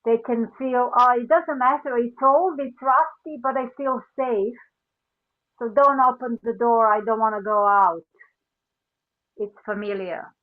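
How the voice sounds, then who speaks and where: A Serbian-accented woman, a life coach, talking reflectively with many pauses and fillers. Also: fairly high pitch, steady tone. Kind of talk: reflective casual chat. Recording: phone-like narrow line.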